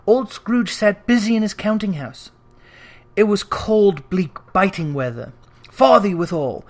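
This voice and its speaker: Expressive male storyteller reading classic literature. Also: sits high. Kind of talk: expressive literary audiobook narration.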